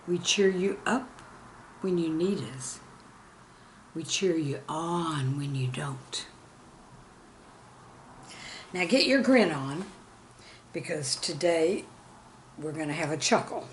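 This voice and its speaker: A woman in her sixties, a teacher reading humorous classroom anecdotes in an expressive, deliberate storytelling manner. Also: lowish voice, slight background noise, low-quality recording. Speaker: retired or veteran teacher, storyteller host. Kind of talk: scripted humorous anecdote narration.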